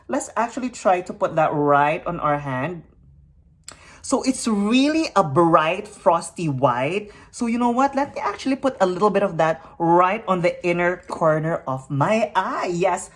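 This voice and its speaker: A woman from the Philippines giving a makeup tutorial, upbeat and hyper, tossing in peppy "you know what?" and "yes!" asides between encouraging thoughts. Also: lowish voice. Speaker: makeup vlogger. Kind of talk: makeup tutorial with motivational talk.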